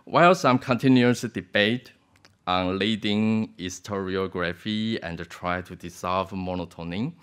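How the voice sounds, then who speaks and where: A man reading a prepared academic paper from a lectern on a theater stage, in a careful, halting delivery. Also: deep voice, a bit bright, smooth. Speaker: conference panelist. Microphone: lectern microphone.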